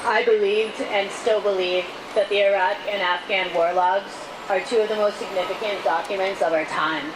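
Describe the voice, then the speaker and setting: A woman reads a prepared statement aloud in a measured, earnest way, as a public speaker at a rally. Her pitch is medium, and the outdoor recording carries clear street noise behind her. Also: steady tone. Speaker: speaker reading a testimony at a rally. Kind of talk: reading prepared testimony aloud.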